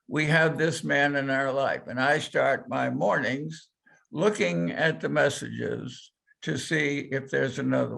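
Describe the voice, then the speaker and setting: Slow, gravelly older man. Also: on the high side, a bit bright. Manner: slow, deliberate storytelling. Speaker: panelist. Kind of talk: panel discussion remarks.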